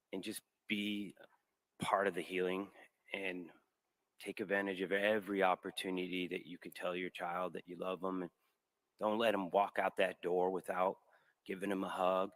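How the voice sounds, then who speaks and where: A father speaking at a memorial in a very deep voice. He halts often, heartfelt, with fillers between thoughts. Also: fairly bright tone. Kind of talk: memorial speech.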